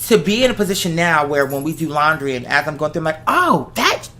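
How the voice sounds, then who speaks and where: Bright-voiced host in heartfelt casual talk. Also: mid-range voice, very animated, fast talker, a little hiss or hum. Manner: emphatic, repeats phrases for effect. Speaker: host of the show; artist who makes videos and music.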